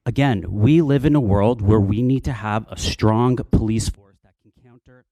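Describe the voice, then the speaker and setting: A man's voice: on the low side, normal speed, mellow, dry recording. A middle-aged man from Canada making an assertive, emphatic public comment at a meeting. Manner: assertive, emphatic, argues with statistics. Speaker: public deputant at a police board meeting.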